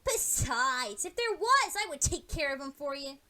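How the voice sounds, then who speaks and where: A woman's voice: high voice, very animated, normal speed, pushed and bright, voice pushed up, exaggerated voice. A woman voicing a cocky, impatient, boastful young female pony in a storybook tale. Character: brash, bragging, impatient female pegasus pony.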